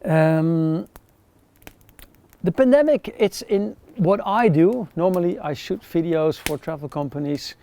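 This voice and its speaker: A middle-aged man with a Dutch accent, chatting casually to camera outdoors by a campfire, relaxed with frequent ums. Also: a little high, measured pace. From Netherlands. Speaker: outdoor gear reviewer.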